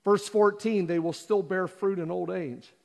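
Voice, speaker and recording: A man's voice: high-pitched, steady tone, unhurried, creaky. A man preaching a sermon as pastor, asking the audience repeated rhetorical questions and acting out quotes. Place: church auditorium. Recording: long hall reverb.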